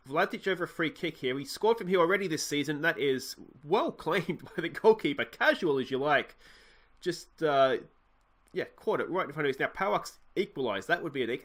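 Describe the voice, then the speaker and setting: An Australian man with a slightly nasal voice from a cold, casually talking through his gameplay, rambling and often correcting himself. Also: on the high side. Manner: rambling, self-correcting, excitable at goals. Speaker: let's play host.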